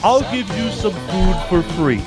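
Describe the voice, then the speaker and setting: A woman's voice: medium pitch, lively intonation, measured pace, close to an everyday voice. A woman playing an earnest, placating friend in an animation skit. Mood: apologetic, placating. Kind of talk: animation dialogue. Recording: music over the clip.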